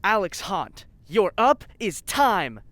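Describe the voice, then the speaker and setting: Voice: medium pitch, sing-song, slow, background noise. An actor playing a self-conscious, blustering villainous scientist in an audio drama, awkward and easily flustered. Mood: angry, blustering.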